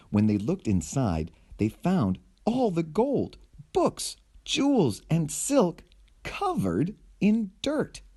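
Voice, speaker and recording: A man reading a children's picture book aloud with theatrical, deliberate phrasing. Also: fairly high pitch, mellow. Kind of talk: animated children's storybook narration.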